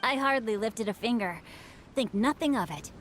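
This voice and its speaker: A woman's voice: fairly high pitch, expressive, moderate pace, a bit bright, a little hiss or hum. A woman voicing a polite, chivalrous teenage boy of a wealthy house in a video game, with flowery, courtly speech. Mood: modest, pleased. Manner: polite, flowery, smooth-tongued. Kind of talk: English video game voice acting.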